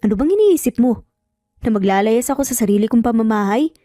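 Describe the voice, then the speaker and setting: A woman's voice: a little high, animated, fast, warm tone, dry recording, soft background music, lightly acted. Woman playing irritable husband, cold, curt, dismissive, storybook drama, dry close sound. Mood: curt, annoyed.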